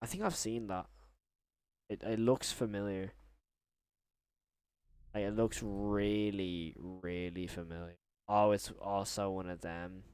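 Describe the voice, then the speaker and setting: Smooth-voiced young man rambling on a livestream. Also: fairly low pitch, fairly even intonation, darkish tone, dry recording. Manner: rambling, halting, rephrases himself mid-thought. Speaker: live streamer and horror video reviewer. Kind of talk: casual live-stream chat, reacting and reading viewer messages.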